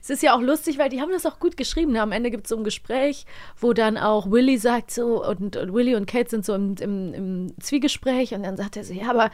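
A woman's voice: a little high, fairly quick, a little mellow, no echo. Woman in casual, playful podcast banter, close dry sound. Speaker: podcast co-host. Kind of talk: casual chat about audio dramas and TV series.

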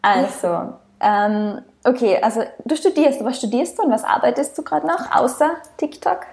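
A woman's voice: on the high side, expressive, normal speed, creaky, darkish tone. Upbeat Austrian woman podcast host. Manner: upbeat, enthusiastic introductions. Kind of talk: podcast interview.

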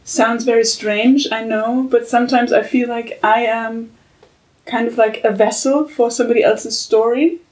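A woman's voice: a little high, fairly even intonation, normal speed, a little mellow. A woman writer speaking earnestly and reflectively in a casual podcast discussion. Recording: far from the mic.

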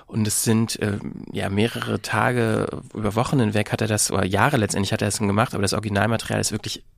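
A man's voice: lowish voice, little pitch movement, quick pace, creaky, dry recording. A man explaining things in a casual discussion, feeling his way with self-corrections.

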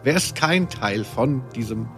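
A man's voice: mid-range voice, animated, measured pace, fairly bright tone, soft background music. A man co-hosting a podcast, playing quizmaster in casual, teasing banter with a mock game-show delivery.

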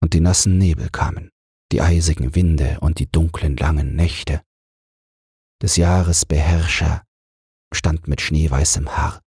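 A man narrating a fairy tale as a soothing bedtime audiobook, in a calm and measured way. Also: a bass voice, husky, no room sound, studio quality.

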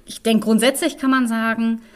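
A woman's voice: a little high, flat delivery, moderate pace. A woman co-hosting a podcast, explaining fairy tales in a conversational way. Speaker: podcast co-host.

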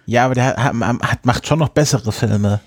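A man's voice: medium pitch, brisk, no room sound, studio quality. A man co-hosting a podcast in casual banter with friends, with a wry, joking manner. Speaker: podcast co-host.

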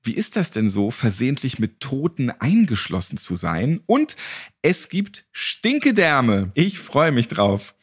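A man's voice: medium pitch, wildly swooping pitch, unhurried, breathy edge, no echo, studio quality. A man hosting a podcast in a colloquial, playful and flippant way, chatting casually and asking questions. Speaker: podcast host. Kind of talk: casual, jokey interview questions and podcast announcements.

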